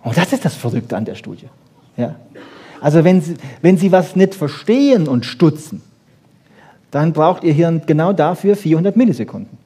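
A man giving a lively, colloquial lecture, speaking emphatically with rhetorical questions and frequent checking tags. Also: a little high, normal speed, slightly rough. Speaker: lecturer in brain research.

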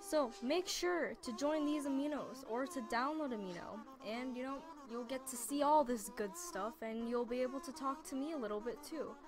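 A woman's voice: high-pitched, normal speed, hardly any pauses. A woman giving a casual app walkthrough in a chatty, informal, offhand way. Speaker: video creator. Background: music.

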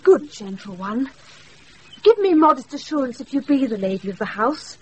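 A British actress playing a quick-witted young woman disguised as a saucy page boy in a Shakespeare radio play, recorded off a wireless. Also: sits high, sing-song, husky, exaggerated voice, rough recording. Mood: earnest, teasing. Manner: saucy, theatrical, breaking into laughter.

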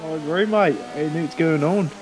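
Man doing an eager, high-ish Aussie sidekick. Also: a little fast, close to an everyday voice. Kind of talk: improvised roleplay banter in a driving game. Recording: faint car engine noise behind voice.

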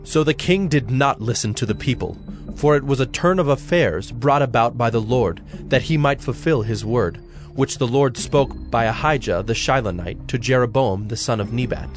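A man narrating a dramatized Bible in a measured, solemn storytelling style. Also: mid-range voice, faint music behind.

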